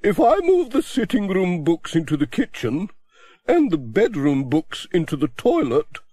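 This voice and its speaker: A man voicing a brisk, bookish older village woman in a high, chirpy put-on voice. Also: huge pitch swings, mellow, no room sound, full character voice. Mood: cheerful. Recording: dry close audiobook recording.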